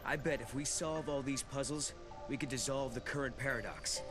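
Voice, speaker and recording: An earnest young video game hero played by a man, talking things through in a thoughtful, mid-pitched voice. Loud game music runs under his lines. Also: normal speed, close to an everyday voice.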